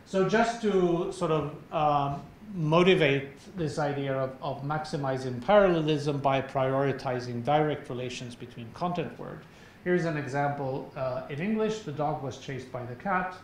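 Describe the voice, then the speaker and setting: A middle-aged man whose first language is Swedish, with a Swedish accent, giving an academic lecture in a measured, explanatory way with frequent filler pauses, on a lapel mic in a large lecture hall. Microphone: clip-on lapel mic. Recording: very echoey hall, faint rumble.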